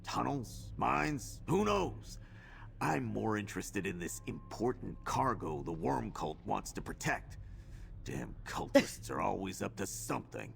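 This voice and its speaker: An actor playing a gruff, wry, well-travelled adventurer in a video game, with a gravelly voice and blunt, folksy talk. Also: male voice, fairly low pitch, big pitch swings, a little slow, fairly bright tone, a little hiss or hum, full character voice. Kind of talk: video game character dialogue.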